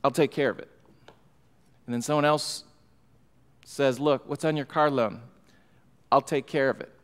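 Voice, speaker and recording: A man's voice: mid-range voice, fairly even intonation, measured pace, fairly bright tone. A middle-aged man preaching an expository sermon from a pulpit microphone, emphatic and building in fervor. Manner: emphatic, rising in fervor, gestures while preaching. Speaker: pastor. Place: church sanctuary, large room indoors. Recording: long hall reverb.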